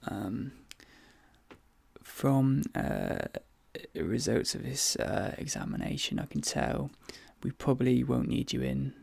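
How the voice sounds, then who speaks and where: Soft, crackly-voiced man doing slow ASMR. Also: mid-range voice, sing-song, dry recording. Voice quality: breathy, soft. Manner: hesitant, halting, drifting into whispers. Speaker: ASMR creator role-playing a dentist. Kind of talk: soft-spoken ASMR role play.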